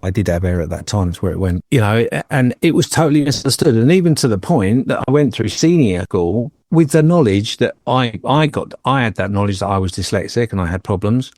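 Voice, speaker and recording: A man's voice: on the low side, animated, brisk, hardly any pauses, a little mellow, no room sound, clean studio sound. A man in his fifties from England, talking on a podcast and telling personal stories with a joking, anecdotal touch. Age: 50s. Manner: jokey, anecdotal storyteller. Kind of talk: casual chat, personal storytelling.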